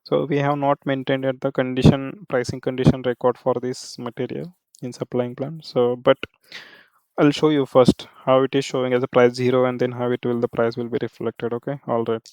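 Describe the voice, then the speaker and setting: Man, software trainer, smooth clear voice, little pitch movement, halting step-by-step walkthrough, frequent check-in tags. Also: flat delivery, no room sound. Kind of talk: live screen-share software walkthrough.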